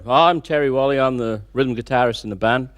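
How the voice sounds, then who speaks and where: A man's voice: mid-range voice, brisk, very noisy. A man, a band's rhythm guitarist, answering in a casual interview in a laconic, offhand way. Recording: distant mic.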